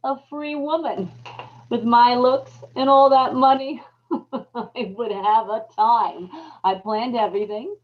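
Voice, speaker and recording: A scheming wife with murder on her conscience , played by a woman, speaks in a fairly high, dramatic voice. She is folksy and emphatic, cold one line and frantic another, in old time radio horror style. The recording is somewhat dull and lo-fi. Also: steady tone, slow pace. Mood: gloating, bitter. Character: scheming, guilt-ridden murderous wife, cold, then panicked. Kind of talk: old time radio horror acting, confessional narration and dialogue.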